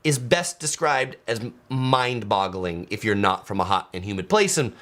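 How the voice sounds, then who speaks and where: Chatty American man, lively voice. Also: on the low side, normal speed. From San Diego, California, USA. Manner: chatty, loose, many filler words. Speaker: travel vlogger. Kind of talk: casual live-stream chat reading viewer comments.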